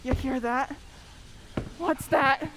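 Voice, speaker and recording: Woman as jumpy scared helper guy in an old radio play, high voice, bit of background noise. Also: takes it slowly. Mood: nervous, uneasy. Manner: nervous, excitable. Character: jittery, frightened male hall helper. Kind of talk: old-time radio drama acting.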